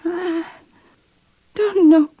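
An actress playing a weak, dazed pregnant frontier woman in a radio western, speaking in faint, halting moans. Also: very high-pitched, steady tone, full character voice. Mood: dazed, frightened. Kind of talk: 1950s radio western acting. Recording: muffled vintage radio drama recording.

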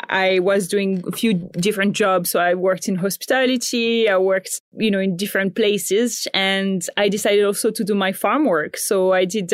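A woman in her thirties who grew up in Morocco, telling her story in a casual interview, thoughtful and pausing to rephrase often. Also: mid-range voice, no echo, studio quality. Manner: thoughtful, frequent restarts and fillers. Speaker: founder and guest.

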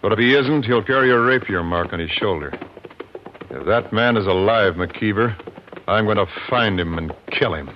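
Male actor as determined frontier avenger, low and serious, clipped lines. Vintage radio drama, faint hiss. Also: animated, moderate pace, natural voice. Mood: grim, determined. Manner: terse, serious. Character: grim, determined young avenger from Boston. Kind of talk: 1950s radio western acting. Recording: muffled vintage radio recording, faint noise.

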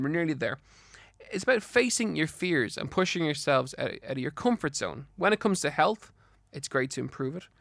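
A man's voice: a little high, creaky, a bit bright, no echo, clean studio sound. An Irish man with a slight lisp hosting a health podcast solo, giving advice in an earnest, encouraging, instructive way. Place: studio.

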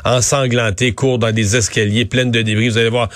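A male radio host speaking in a relaxed, conversational way and guiding the interview with questions. His voice is at a middle pitch. The studio sound is close and dry, with no room echo. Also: brisk.

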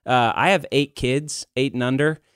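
A man's voice: mid-range voice, steady tone, moderate pace, clean tone, no room sound, clean studio sound. A man in his thirties hosting a casual podcast, talking in a rambling, candid, self-deprecating way. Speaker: podcast host. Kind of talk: casual conversational podcast talk.

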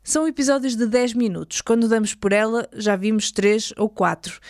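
A woman's voice: medium pitch, a little mellow, dry recording, studio quality. A woman with a European Portuguese accent delivering scripted narration as a host.

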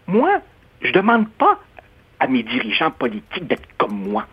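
Brief: Uruguayan-born male columnist, animated and a bit husky, muffled lo-fi radio quality. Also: medium pitch. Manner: measured, expressive, stammering restarts. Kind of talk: opinionated radio debate. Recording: dull lo-fi radio sound.

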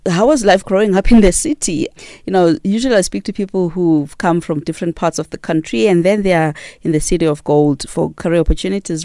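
A woman's voice: fairly low pitch, creaky, mellow, dry recording, clean studio sound. A woman who reads the news and hosts a radio interview in an upbeat presenting manner. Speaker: radio host and newsreader.

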